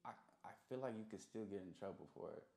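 A man's voice: sits low, lively intonation, fast, creaky, dark tone. A young man chatting casually as an interview guest, in a slangy way with repeated emphatic phrases. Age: young adult. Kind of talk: casual chat. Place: small recording studio. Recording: roomy indoor echo.

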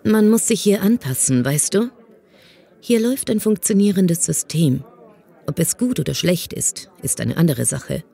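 Woman playing a dark-voiced, husky police boss. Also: on the low side, lively intonation, normal speed, exaggerated voice. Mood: veiled threat. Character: cynical, patronising police superior, smoothly menacing. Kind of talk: fan audio drama acting.

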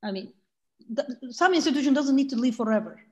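Woman, Turkish accent, forties, laptop video call, slightly high voice, hesitant, filler-heavy. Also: animated, lo-fi sound. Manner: halting, many fillers and restarts, gestures a lot. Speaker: panelist. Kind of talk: online panel talk. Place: room by a sunny window. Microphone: laptop mic on video call.

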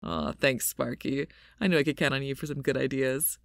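A woman's voice: extremely low pitch, steady tone, moderate pace, dry recording, clean studio sound. A woman voicing a playful, laid-back sporty young woman with a jokey drawl in an audio roleplay. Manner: jokey, easygoing drawl with puns.

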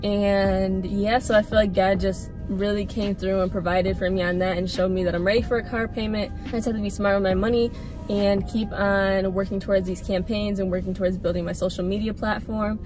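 A woman's voice: medium pitch, flat delivery, brisk, music in the background. Chatty young woman vlogging in a car. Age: 20s. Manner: chatty, earnest, many fillers and repeats. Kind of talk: casual chat to camera. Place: inside a parked car. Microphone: phone held at arm's length or mounted on dashboard.

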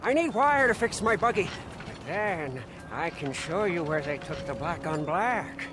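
High-pitched, manic zealot mechanic. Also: male voice, lively intonation, unhurried, over music. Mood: anxious, eager. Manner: feverish preacher-like zeal, wheedling, repeats himself. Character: manic, devout hunchbacked mechanic, fawning male sidekick. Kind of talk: video game character acting.

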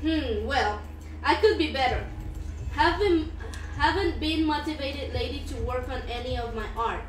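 A woman's voice: high-pitched, expressive, normal speed. A giggly woman co-hosting a casual live stream, reading out and answering viewer comments, recorded in a small room. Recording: roomy, distant mic. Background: faint music.

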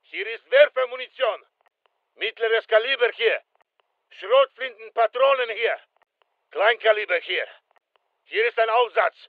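A man's voice: very high voice, unhurried, long pauses, no room sound, phone-quality sound. An actor playing a terse, disciplined soldier who reports to his squad in short clipped phrases in a video game. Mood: businesslike. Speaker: military game character. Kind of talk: video game combat callouts.

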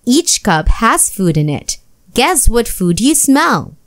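An encouraging lady schoolteacher from a storybook, acted out by a woman. She explains things brightly and instructively, in a high voice with lively swings of pitch. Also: slow, no echo, studio quality. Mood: cheery.

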